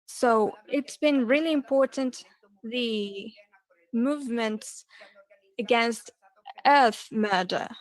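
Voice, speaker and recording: Slow, halting woman interpreter. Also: a little high, poor audio. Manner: halting, with pauses and self-corrections. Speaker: simultaneous interpreter. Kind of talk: live interpretation of a panel discussion.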